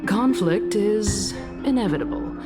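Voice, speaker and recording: A woman's voice: medium pitch, lively intonation, unhurried, natural voice. An actress playing a scheming noblewoman who leads a council in a fantasy game, courtly, poised and theatrical. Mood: calculating resolve. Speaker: voice actor. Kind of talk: scripted fantasy game dialogue. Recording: loud game music behind the voice.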